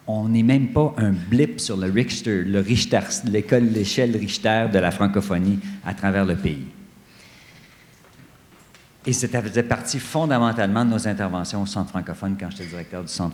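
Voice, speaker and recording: A middle-aged man giving earnest, emphatic panel remarks, often pausing and hesitating. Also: on the low side, darkish tone. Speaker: panelist at a study day; director general of an association. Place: university conference room. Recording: echoey conference hall with long reverb.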